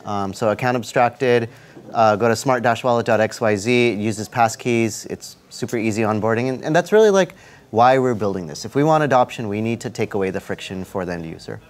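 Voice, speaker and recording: A man's voice: lowish voice, fairly quick. A man speaking as a panelist and engineering lead, upbeat and eager in tone. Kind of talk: conference panel talk.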